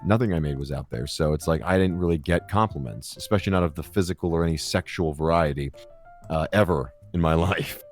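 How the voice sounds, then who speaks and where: Jeune homme, voix grave et basse, conteur cru et décousu. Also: male voice, fairly quick, soft background music. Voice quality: deep voice. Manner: crude, rambling storyteller who swears a lot. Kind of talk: casual comedic chat.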